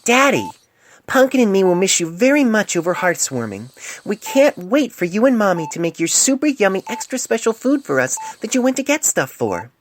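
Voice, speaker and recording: An affectionate small pony child, performed by a woman, speaks in a high, loud, earnest voice with simple childish phrasing. It is an amateur story reading on a noisy phone recording. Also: big pitch swings, moderate pace, close to an everyday voice. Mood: eager, loving. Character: eager, affectionate little pony foal child. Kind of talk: fan fiction audio story, letter read aloud.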